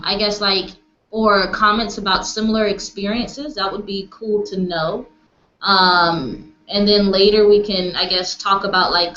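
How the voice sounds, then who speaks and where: A woman's voice: medium pitch, bright, forceful tone. A woman leading a casual online group discussion, speaking hesitantly and searching for words over a video call. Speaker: discussion facilitator. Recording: echoey, dull, noisy video-call audio.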